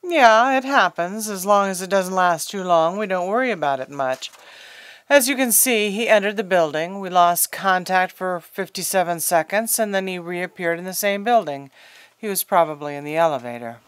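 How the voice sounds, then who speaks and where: A woman's voice: on the low side, normal speed, no echo, natural voice. Woman acting easygoing probation officer, dry studio sound. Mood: helpful, casual. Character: matter-of-fact female probation officer, helpful and easygoing. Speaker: radio drama actor. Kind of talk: radio drama acting.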